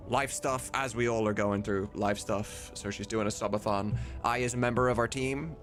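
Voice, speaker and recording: A man's voice: fairly low pitch, a bit bright. A man chatting casually and informally as a livestream host, with some mild swearing. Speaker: livestreamer and host of a game voice-dubbing mod team.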